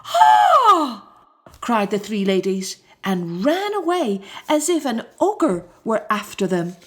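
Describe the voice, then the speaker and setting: A woman narrating a children's story from a script in an expressive, deliberate storyteller's manner. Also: mid-range voice. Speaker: storybook reader.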